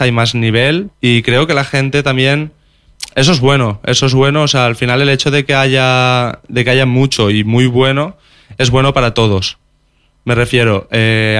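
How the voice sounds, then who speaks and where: A man's voice: medium pitch, fairly bright tone, smooth, dry recording. A young male singer with a Valencian accent, a native Valencian speaker talking in Spanish, answering interview questions thoughtfully, often restarting and repeating words. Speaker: singer interviewed about his music.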